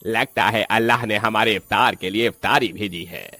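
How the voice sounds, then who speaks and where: A man's voice: lowish voice, moderate pace, bright, forceful tone, dry recording, soft background music. A man playing a commanding ghost, head of a haunted family in a cartoon horror story. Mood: predatory.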